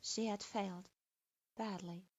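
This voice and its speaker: A woman narrates a dark story in a steady, even, scripted way, with a voice of ordinary pitch for a woman. The recording is dull and lo-fi, and it sounds completely dry, with no room around the voice. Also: a little slow, no echo.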